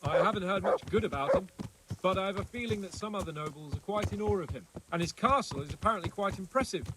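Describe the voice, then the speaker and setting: A wry young medieval lord in a game, confident n scornful, kinda high voice, noisy with hooves behind. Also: male voice, expressive, quick pace. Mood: dismissive. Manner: opinionated, dryly scornful. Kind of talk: video game cutscene acting. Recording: clear noise behind the voice.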